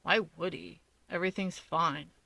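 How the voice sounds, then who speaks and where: A woman voicing a sardonic, aloof young man with a deadpan, drawling manner in a story reading. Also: on the low side, normal speed, gravelly, no room sound, heavily acted. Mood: matter-of-fact.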